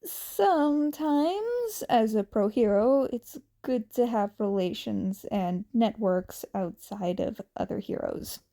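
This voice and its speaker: A woman's voice: a little high, expressive, takes it slowly, warm tone. A woman voicing a breezy, casual young male winged superhero in a story, with slangy, teasing talk. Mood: casual, boastful.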